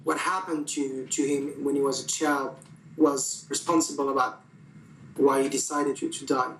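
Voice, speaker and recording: A man interviewing a bereaved woman, halting and searching for words. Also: medium pitch, little pitch movement, a bit bright, slight background noise. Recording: distant and echoey.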